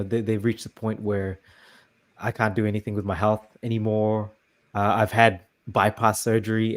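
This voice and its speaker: Hesitant, agreeable male host. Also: on the low side. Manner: hesitant, many restarts and fillers, agreeable. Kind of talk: casual interview chat.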